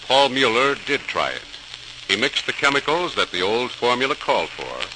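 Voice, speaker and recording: A man narrating a scripted story in a dramatic 1940s radio style. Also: medium pitch. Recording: noisy crackling old radio recording.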